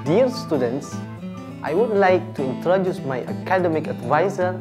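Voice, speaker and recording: A woman with a low voice gives a formal introduction in slow, deliberate practice English. She sounds set back from the mic, with loud plucked guitar music behind her. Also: expressive. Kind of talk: scripted role-play dialogue for language practice.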